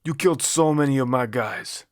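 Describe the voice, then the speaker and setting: A man acts out an exasperated gangster from a crime story. He rants and threatens in a put-on New York Italian-American accent, grudgingly admiring his enemy. The voice is mid-pitched and recorded dry. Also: moderate pace, no room sound, lightly acted. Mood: menacing, exasperated. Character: menacing, exasperated male gangster boss with tattoos.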